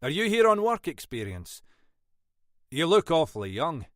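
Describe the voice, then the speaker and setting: A man's voice: fairly high pitch, very animated, moderate pace, fairly bright tone, no room sound, clean studio sound, voice pushed up. A man voicing a weary older police inspector in an audiobook story, with a wry, avuncular, teasing manner. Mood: amused, teasing.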